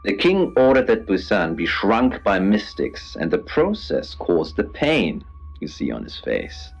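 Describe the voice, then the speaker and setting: An actor playing an eerie, theatrical male host of a spooky late-night radio show, speaking deliberately. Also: sits low, husky, heavily acted. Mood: ominous storytelling. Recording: dull lo-fi radio sound over music. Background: background music.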